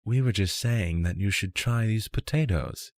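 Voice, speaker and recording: A man's voice: fairly low pitch, normal speed, dry recording, studio quality, pitched above the performer's own voice, lightly acted. A man voicing a curious young noblewoman in a fantasy storybook, polite and inquisitive in manner.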